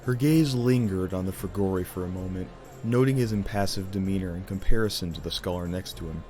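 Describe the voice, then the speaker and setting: A man narrating a scripted fantasy audio drama as a theatrical, deliberate storyteller. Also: deep voice, warm tone, soft background music.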